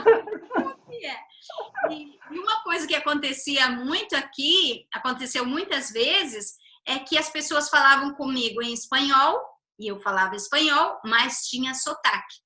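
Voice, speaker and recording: A middle-aged Brazilian woman hosting a casual interview, telling lively stories and getting louder as she recounts them. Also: high-pitched. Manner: lively storyteller, rising loud when recounting anecdotes. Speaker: host.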